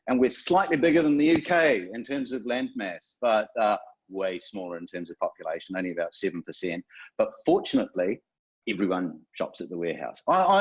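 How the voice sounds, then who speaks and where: A man's voice: mid-range voice, breathy edge, dull audio. A man with a New Zealand accent giving a chatty, self-deprecating webinar presentation as a guest speaker from a retailer.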